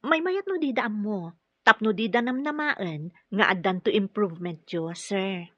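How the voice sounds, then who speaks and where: A woman's voice: mid-range voice, expressive, a little slow, no room sound, highs cut off. A woman with an Ilocano Filipino accent playing a kind, dutiful caregiver in a radio drama, polite and earnest. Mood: encouraging.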